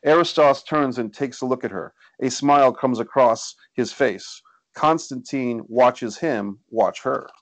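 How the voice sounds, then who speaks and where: A man's voice: mid-range voice, unhurried, dry recording. A man reading stage directions as scripted narration at a screenplay table read, recorded indoors over a video call.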